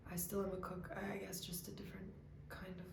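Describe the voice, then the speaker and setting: A woman performing a dramatic monologue as a grieving, self-doubting young cook, speaking in a halting, confessional way. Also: on the low side, completely flat delivery, close to an everyday voice. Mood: halting, subdued. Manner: halting, hesitant, confessional, with stammered restarts. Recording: echoey room, clear background noise.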